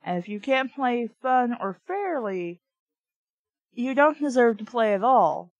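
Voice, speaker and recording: A woman's voice: a little high, animated, a little slow, dry recording, lo-fi sound. A woman reading a book aloud casually, with blunt, sweary, opinionated off-the-cuff commentary. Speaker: reader and commentator of a children's book.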